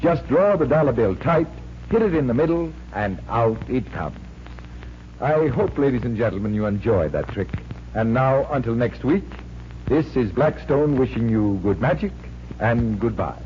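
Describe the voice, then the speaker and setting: A man's voice: mid-range voice, expressive, normal speed, close to an everyday voice. An actor playing a confident, genial magician detective who explains things like an assured showman, in 1940s radio drama style. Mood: instructive. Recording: muffled, hissy 1940s radio recording.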